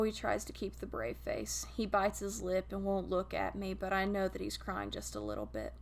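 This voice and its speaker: A woman voicing a gentle, grieving male guardian angel who tells a story in a wistful, intimate way. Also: medium pitch, creaky.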